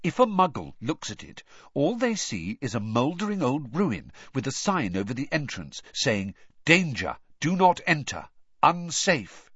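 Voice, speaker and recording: A man's voice: medium pitch, huge pitch swings, a little slow, fairly bright tone, husky, dry recording, highs cut off, pitched above the performer's own voice, exaggerated voice. A man voicing an earnest teenage schoolgirl in an audiobook, sniffy and matter-of-fact as she lectures. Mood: earnest, explaining. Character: earnest, know-it-all teenage schoolgirl, quick to indignation.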